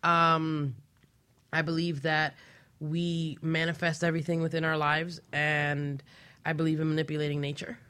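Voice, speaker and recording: A woman's voice: sits low, flat delivery, normal speed, a bit bright, clear, resonant tone. A woman speaking as a panel guest, explaining personal beliefs in a casual, conversational way. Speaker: panel guest, magic practitioner.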